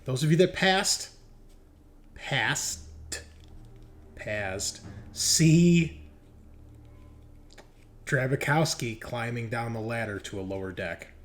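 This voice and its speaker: A man's voice: medium pitch, lively intonation, takes it slowly, pauses often, a bit bright. A man narrating a tabletop horror game as game master in a casual, conversational way.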